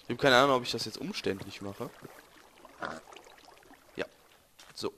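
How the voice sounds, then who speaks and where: A young man, a bit hoarse, rambling and joking to viewers while commentating his gameplay. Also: mid-range voice, unhurried, noisy background. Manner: joking, rambling, self-correcting, talks to viewers. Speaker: let's play gamer.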